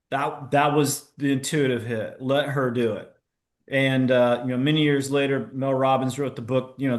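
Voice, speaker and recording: A middle-aged man talks through his own story in a relaxed interview, frank and self-mocking. His voice sits at an ordinary pitch, and he often trips and restarts mid-sentence. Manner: candid, self-deprecating, with stammered restarts. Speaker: podcast guest, entrepreneur.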